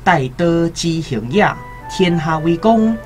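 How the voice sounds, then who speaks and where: A man's voice: a little high, takes it slowly, soft background music. A man playing an earnest classical pupil reciting Confucian texts in a radio drama, with a Taiwanese accent.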